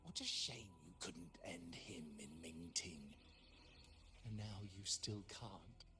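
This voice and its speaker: A mocking, fanatical video game villain, with very animated pitch that rises and falls sharply. The delivery is theatrical and drawn out, toying with the listener like a false acolyte. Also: male voice, slow pace, faint music behind, exaggerated voice.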